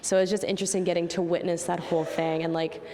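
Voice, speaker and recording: A woman's voice: on the low side, little pitch movement, fast talker, mellow, in a large hall. A young woman artist from Manitoba, Canada, reflecting casually in an interview with frequent "like" and "you know". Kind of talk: reflective interview talk about own art.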